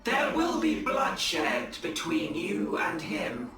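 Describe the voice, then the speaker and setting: A man playing a cautious, scholarly alien adviser in a video game, offering slow, deliberate counsel. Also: fairly high pitch, huge pitch swings, a bit bright, full character voice. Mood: cautioning. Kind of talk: dramatic video game cutscene acting. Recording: echoey, distant, music behind.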